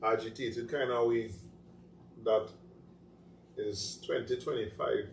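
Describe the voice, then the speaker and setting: A man's voice: mid-range voice, steady tone, slow pace. A man with a Trinidadian accent talking casually in a panel discussion, making his points emphatically and repeating phrases for stress. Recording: roomy echo, distant mic, faint noise.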